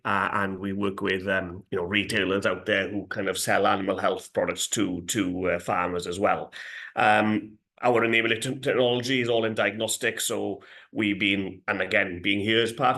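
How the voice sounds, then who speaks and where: A man with a lowish voice, a company general manager speaking as a guest in an online discussion. He talks in a stop-start way, full of fillers, and corrects himself as he goes. He comes through a video-call mic. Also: a bit bright.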